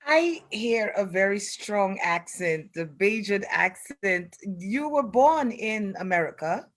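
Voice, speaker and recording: A woman's voice: medium pitch, animated, slow. Curious woman radio host. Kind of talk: radio interview.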